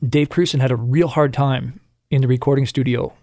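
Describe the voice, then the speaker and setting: A man's voice: mid-range voice, fairly even intonation, fairly quick, dark tone, dry recording, studio quality. A Canadian man co-hosting a casual music podcast, sharing trivia and laughing often. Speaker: podcast co-host.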